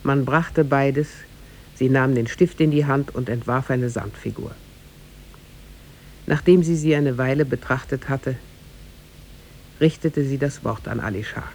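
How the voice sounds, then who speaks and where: Gravelly male storyteller on old radio. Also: darkish tone. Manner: measured, formal storytelling. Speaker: narrator. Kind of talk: scripted storybook narration for 1950s radio. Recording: 1950s radio recording with faint rumble.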